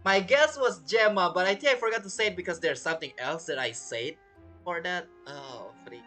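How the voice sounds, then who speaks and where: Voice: mid-range voice, brisk, a bit bright, faint music behind. A streamer giving casual, hesitant, rambling commentary while playing a video game. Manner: hesitant, rambling, self-talking.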